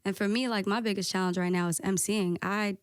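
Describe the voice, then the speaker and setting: A woman DJ guesting on a podcast, talking casually and candidly with a soft voice, peppering her talk with "like" and swearing. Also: mid-range voice, steady tone, quick pace, smooth, no room sound, clean studio sound. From United States. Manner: candid, lots of "like" and profanity.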